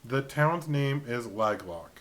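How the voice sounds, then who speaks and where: Mid-pitched man, casual recap. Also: animated, takes it slowly, a bit bright. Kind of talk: casual chat and recap narration.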